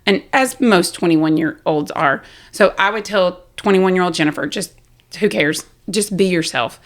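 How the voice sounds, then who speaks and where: A talkative woman with a Southern US accent, speaking candidly and loudly as an interview guest. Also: fairly low pitch, normal speed. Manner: loud, talkative, self-deprecating. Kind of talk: candid personal conversation.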